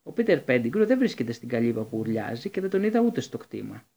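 A man's voice: medium pitch, sing-song, gravelly, mellow. A man voicing a cold, resentful male professor with a snide, sneering manner.

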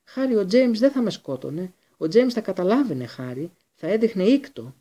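Man performing a storybook traitor, cowardly, high strained pitch, whimpering, pleading, repeating himself. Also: very animated, dark tone. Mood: desperate. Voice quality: breathless, whimpering. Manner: pleading, stammering repetition.

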